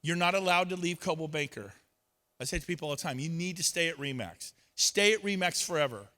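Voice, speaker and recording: A man's voice: on the high side, fairly quick, pushed and bright. A man giving a motivational talk on stage, acting out both sides of conversations with others. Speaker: real estate speaker. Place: event stage.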